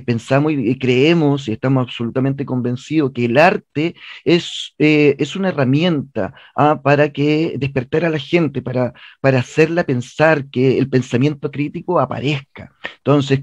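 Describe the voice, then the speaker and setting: A man's voice: a little high, moderate pace, no room sound. A man with a Chilean accent giving a radio interview as a guest, speaking earnestly and emphatically, repeating words as he argues, on lo-fi audio. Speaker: theatre director, interview guest.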